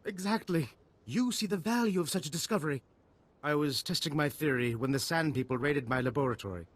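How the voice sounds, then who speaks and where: An actor playing a pompous, excitable and callous eccentric scientist in a video game, with theatrical delivery. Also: male voice, a little high, brisk, close to an everyday voice. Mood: eager explaining. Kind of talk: video game character dialogue.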